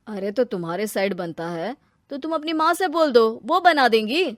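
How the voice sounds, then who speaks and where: A woman plays an easygoing young husband in a cartoon tale. He teases as he talks, in a fairly high voice with a dry, close sound. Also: expressive, moderate pace, no room sound, lightly acted. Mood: helpful. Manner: teasing. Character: young husband, teasing, easygoing new father. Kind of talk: cartoon story character acting.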